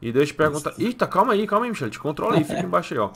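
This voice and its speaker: Fast, bright-voiced flustered Brazilian man. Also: a little high, very animated, creaky, a little hiss or hum. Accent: Brazilian Portuguese. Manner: flustered, rambling, muttering asides. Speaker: roleplayer playing a soldier. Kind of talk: improvised game roleplay.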